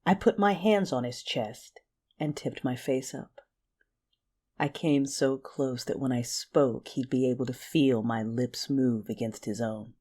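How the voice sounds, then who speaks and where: Husky, intense female Sith warrior. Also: very deep voice, a little slow, mellow. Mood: intimate. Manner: intimate, breathy, intense delivery. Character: passionate young female Sith warrior, wounded and fierce. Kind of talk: first-person dramatic narration in an audio drama.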